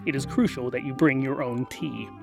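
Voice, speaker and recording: A man narrating a scripted comic fantasy story in a dry, deliberate, theatrical way. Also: mid-range voice, steady tone, vocal fry. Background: music.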